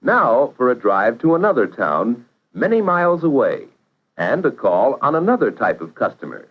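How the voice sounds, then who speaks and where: A man's voice: medium pitch, sing-song. Guy narrating an old 50s school career film, very up and down intonation, instructive, muffled old audio. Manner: measured, instructive, poses questions to the viewer. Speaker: narrator of vocational training film. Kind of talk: 1950s educational film scripted narration. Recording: dull vintage 1950s film soundtrack.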